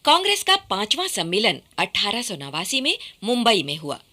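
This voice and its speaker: A woman reading scripted radio documentary narration with formal, expressive enunciation. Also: medium pitch, moderate pace.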